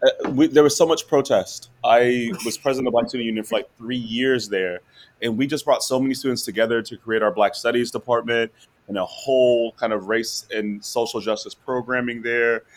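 A law professor guest talking casually and animatedly in an interview, often laughing and checking in with "right?". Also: male voice, medium pitch, fairly even intonation, normal speed.

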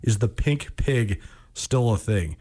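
A man's voice: on the low side, lively intonation, slow, dark tone. An American man hosting a sports radio show, chatting casually in an opinionated, emphatic way and repeating words for effect.

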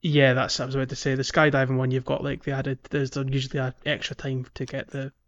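A man co-hosting a video game podcast, chatting casually and explaining things in a halting way. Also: medium pitch, monotone, dull audio. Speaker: podcast co-host.

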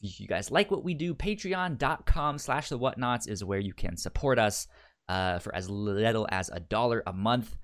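A man co-hosts a laid-back podcast, talking things over in relaxed chat. He stumbles often, repeating and restarting words as he works out what to say. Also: lowish voice. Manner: halting, many stammered restarts. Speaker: podcast co-host.

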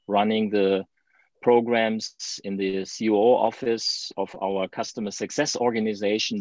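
A man's voice: lowish voice, a little mellow, dry recording. A man from Germany with a German accent, talking as a guest in a conversational interview, chatty, with restarts and light laughs. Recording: dull, lo-fi sound.

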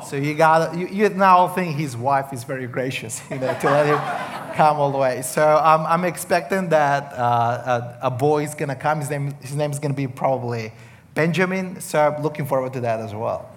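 A man's voice: a little high, moderate pace. Serbian-Australian accented man in a reverberant hall. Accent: Serbian with an Australian tinge. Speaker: visiting church leader, interview guest. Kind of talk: casual onstage interview with light humor. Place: church stage. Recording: reverberant hall, clearly noisy background.

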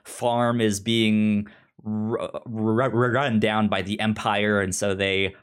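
A man's voice: fairly low pitch, little pitch movement, takes it slowly, clean tone. A man co-hosting a podcast, chatting casually about pop culture, with a stutter that repeats syllables and words. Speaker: podcast co-host.

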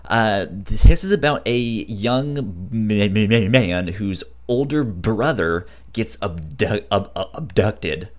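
A man with a fairly low voice talks at a very slow pace in casual podcast chat. He stutters often, repeating syllables before getting the word out. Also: expressive. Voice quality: frequent stutter, repeating syllables and blocking on words.

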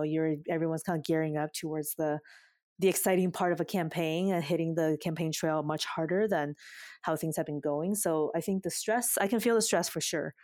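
A woman's voice: low voice, a little mellow, dry recording, clean studio sound. A woman who organizes a campaign and her community, talking earnestly and thoughtfully in a casual chat.

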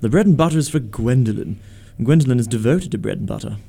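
A man acting in a radio play as a witty, idle young gentleman in a comedy of manners, with arch, languid, ornate phrasing. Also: medium pitch, expressive, fast, vocal fry, breathy edge. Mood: mock-stern, lofty. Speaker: amateur radio theatre actor.

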